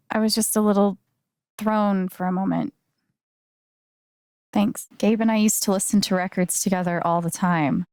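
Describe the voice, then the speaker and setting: Casting: young female game lead, new in town, friendly and dryly funny, natural delivery, medium pitch, close dry recording. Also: vocal fry, darkish tone, no room sound. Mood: wry. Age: young adult. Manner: casual, dryly joking, conversational. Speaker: video game voice actress.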